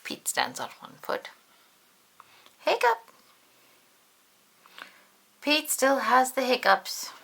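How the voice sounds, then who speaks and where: A woman narrates a picture book for young children with big swings in pitch and plenty of dramatic emphasis. Her voice is bright, her pace slow and measured, with some gravelly creak. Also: big pitch swings, slight background noise. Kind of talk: expressive storybook read-aloud for young children.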